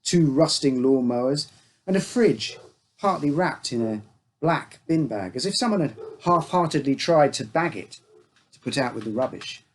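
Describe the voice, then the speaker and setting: A man's voice: mid-range voice, animated, moderate pace. A man reading a scripted uncanny story as its storyteller. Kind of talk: scripted narration of an uncanny tale.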